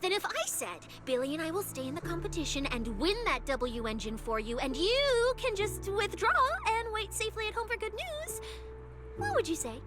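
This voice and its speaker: A woman playing a playful, food-loving young police specialist in an animated game cutscene, with an expressive, mock-official manner. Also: very high-pitched, normal speed. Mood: worried, earnest. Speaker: video game voice actor. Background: background music.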